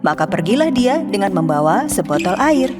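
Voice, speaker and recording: A woman narrating a children's cartoon story in a calm, clear storytelling manner. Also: medium pitch, normal speed. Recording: music behind.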